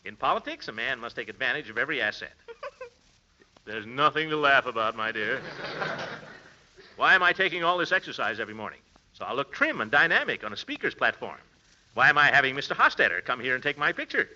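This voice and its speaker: A self-important middle-aged uncle from 1940s radio comedy, full of bluster and nervous chuckles. The voice leaps up and down and rings out very sharply. The old recording is dull and muffled. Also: male voice, mid-range voice, very animated, brisk, bright, forceful tone, a little hiss or hum. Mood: pompous, self-satisfied.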